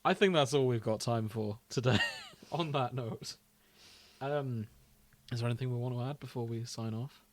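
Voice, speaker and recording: A man's voice: mid-range voice, moderate pace. British man in casual podcast banter. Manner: jokey, prone to laughing. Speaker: podcast co-host.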